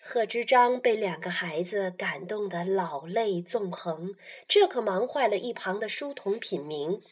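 A woman narrating a children's radio play as a storyteller, in an animated style. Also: mid-range voice, a little slow, breathy edge.

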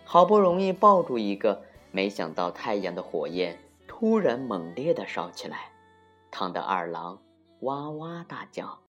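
A woman with a very deep voice tells a children's story slowly and expressively, her pitch rising and falling a lot. She sounds a little muffled, and music plays along. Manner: animated, deliberate, dramatic emphasis. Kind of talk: expressive children's folktale narration.

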